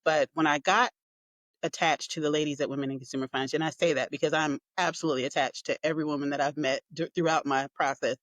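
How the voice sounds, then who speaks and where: A woman guest speaking with warm, heartfelt enthusiasm in a casual interview. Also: deep voice, steady tone, fast, no echo.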